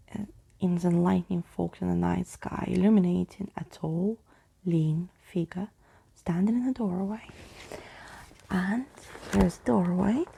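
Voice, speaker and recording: A woman reading a story aloud in a soft, hushed ASMR style, with a breathy, crackly voice. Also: mid-range voice. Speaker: storyteller, ASMR video host.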